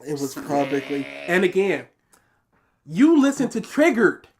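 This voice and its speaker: A woman's voice: lowish voice, sing-song, a little slow, a little mellow, slight background noise. A woman with a light, nasal voice co-hosting a casual reaction commentary, arguing her points and getting exasperated. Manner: argumentative, gets exasperated. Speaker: co-host reacting to a video.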